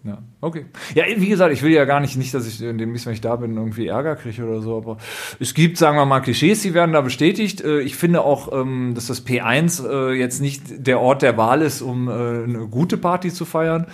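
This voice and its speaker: A man's voice: medium pitch, brisk, few pauses. A man hosting a casual talk show, chatty and jokey, with many fillers and restarts. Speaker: podcast host. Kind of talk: casual chat.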